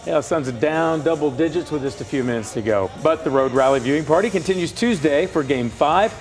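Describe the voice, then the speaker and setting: Higher-pitched American male news anchor. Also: animated, a little fast, soft background music. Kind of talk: scripted TV news delivery.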